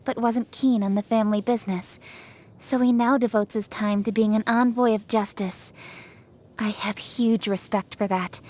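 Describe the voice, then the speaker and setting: A woman's voice: on the high side, a little mellow, lightly acted. A woman voicing a confident, opinionated young official in a fantasy video game, articulate and frank. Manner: articulate, self-assured, frank. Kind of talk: scripted video game character voice lines.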